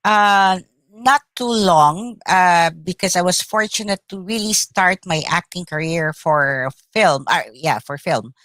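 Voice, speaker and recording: A middle-aged woman with a Filipino accent, whose first language is Tagalog, answering interview questions thoughtfully with many pauses and uhs. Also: fairly low pitch, a bit bright, dry recording. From Philippines. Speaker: actress, interview guest.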